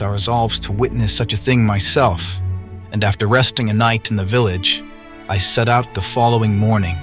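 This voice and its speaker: A man's voice: on the low side, fairly bright tone. An actor playing an earnest young knight, recounting his tale in solemn, archaic language for an audio drama. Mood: solemn, reflective. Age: young adult. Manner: formal, archaic, solemn storytelling. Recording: voice over orchestral music.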